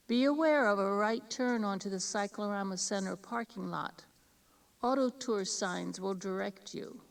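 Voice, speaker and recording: A woman's voice: medium pitch, vocal fry, distant mic. A woman giving polite, matter-of-fact scripted directions as a tour guide. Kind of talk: scripted instructional announcement.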